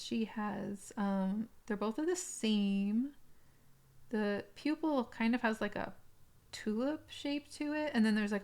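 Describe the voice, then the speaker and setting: A woman with a mid-range voice talks through an unboxing review in a casual, unscripted style. She describes things in careful detail, pausing and piecing her phrases together as she goes. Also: mid-range voice, vocal fry, a little mellow. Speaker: doll collector reviewing an unboxing.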